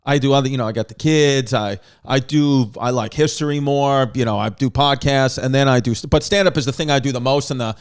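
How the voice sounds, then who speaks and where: A man with a New York accent hosting a comedy podcast, talking in a rambling, jokey way with quick asides. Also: mid-range voice, clean tone. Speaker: podcast host. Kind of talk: casual comedic chat.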